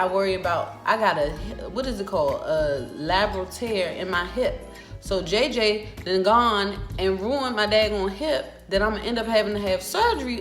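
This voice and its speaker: Sassy young American woman, roomy sound. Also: mid-range voice. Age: 20s. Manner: animated, sassy, emphatic. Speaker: vlogger. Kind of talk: casual storytelling vlog. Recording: roomy echo with music behind.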